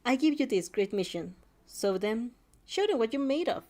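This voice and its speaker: A woman's voice: fairly high pitch, very animated, moderate pace, mellow. A woman reading a story aloud, voicing a bubbly, fiercely supportive teenage girl in an exuberant, emphatic, teasing way. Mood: cheering, excited. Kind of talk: character dialogue in amateur story reading.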